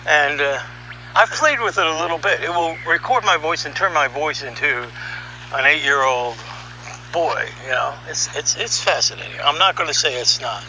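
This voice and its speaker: A man giving an opinionated, conversational monologue as a podcast host, sardonic and animated, throwing out rhetorical questions. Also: fairly high pitch, normal speed, slight background noise, low-quality recording. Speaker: podcast host and audio artist. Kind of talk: opinionated monologue, reading article excerpts aloud.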